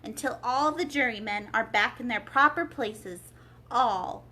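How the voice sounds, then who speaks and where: A woman's voice: fairly high pitch, measured pace, bright, forceful tone. A woman voicing a grave, bossy, self-important king in a children's storybook. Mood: grave, emphatic. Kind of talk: storybook character read-aloud.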